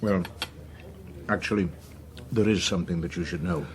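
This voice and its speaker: An actor playing an anxious, irritable senior politician in a radio drama, with a British accent and a blunt, grumbling manner. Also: male voice, sits low, moderate pace, darkish tone, slight background noise, lightly acted. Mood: grave, uneasy.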